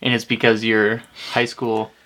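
A man's voice: fairly low pitch, completely flat delivery, unhurried, bright, forceful tone, low-quality recording. A man hosting a podcast, interviewing an athlete in a casual, conversational style.

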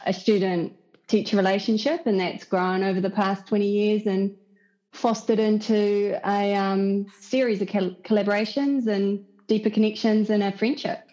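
A woman's voice: medium pitch, fairly even intonation, moderate pace, mellow. A woman with a New Zealand accent chatting as a design director guest in a casual interview. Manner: chatty, laughs easily. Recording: dull lo-fi sound.

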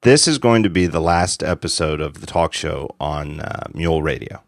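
A man's voice: extremely low pitch, expressive, moderate pace, no echo, clean studio sound. A man in his forties hosting a podcast, chatting casually and rambling with restarts. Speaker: podcast host. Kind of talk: casual chat.

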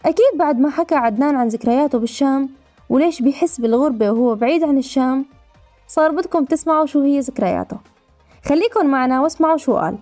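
A woman's voice: high voice, fast talker, a little mellow, soft background music. A woman reporter giving scripted narration, addressing the audience directly.

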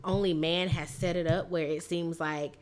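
A woman with a raspy, hoarse voice from a cold, chatting as a podcast co-host and telling stories in a lively, filler-heavy way. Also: fairly low pitch, moderate pace. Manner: chatty, animated storytelling with many fillers. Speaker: teacher. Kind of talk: casual chat.

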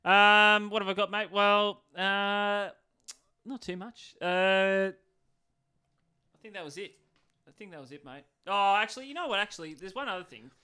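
A man's voice: high voice, moderate pace, pushed and bright, smooth. An Australian man chatting casually as a podcast co-host, joking with a mock-serious air. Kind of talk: casual chat. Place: podcast studio.

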